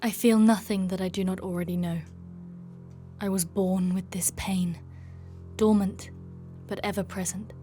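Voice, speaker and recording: An actress playing a proud, tormented warrior in a dark fantasy audio drama, speaking with solemn intensity and formal, poetic diction. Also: female voice, medium pitch, fairly even intonation, a little slow, natural voice. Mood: pained, brooding. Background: music.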